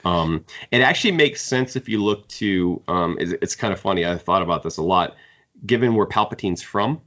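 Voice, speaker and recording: A man's voice: sits low, animated, normal speed, a bit bright, highs cut off. A man co-hosting a book podcast, talking casually and analytically as he gives his opinions. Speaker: podcast co-host discussing books.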